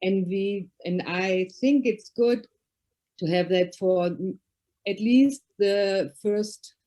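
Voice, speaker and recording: A woman's voice: medium pitch, mellow. A woman from Germany with a German accent, a Lions past district governor, sharing her thoughts on a video call in careful, slightly non-native English.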